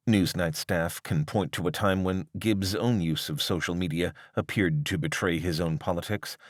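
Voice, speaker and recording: Male narrator, deep voice, dry close sound, measured article reading, articulate. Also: dry recording. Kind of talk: scripted long-form journalism narration.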